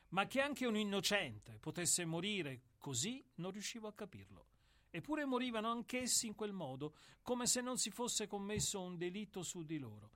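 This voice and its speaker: A man's voice: high voice, fairly bright tone. A man playing a brooding, solemn executioner. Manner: grave, measured, deferential. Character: brooding male executioner, somber and philosophical. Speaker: actor in a radio play. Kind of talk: radio drama acting.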